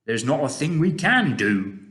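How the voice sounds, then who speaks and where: A man's voice: on the high side, very animated, a little slow, fairly bright tone, pitched above the performer's own voice. A man voicing a big friendly male giant who speaks in mangled grammar and odd invented words. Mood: gentle. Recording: roomy echo.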